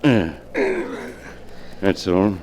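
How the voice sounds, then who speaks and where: An actor playing a calm, cunning male scientist in a 1940s radio drama, speaking in a polished, measured way. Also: deep voice, a little hiss or hum. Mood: explaining.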